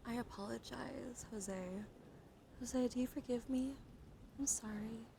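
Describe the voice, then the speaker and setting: Hushed ASMR woman, slightly strained, noisy background. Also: fairly high pitch, fairly even intonation, creaky. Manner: hushed, soothing, unhurried, often slipping into whisper. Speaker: live streamer hosting an ASMR stream. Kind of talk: soft ASMR, guided relaxation and chat.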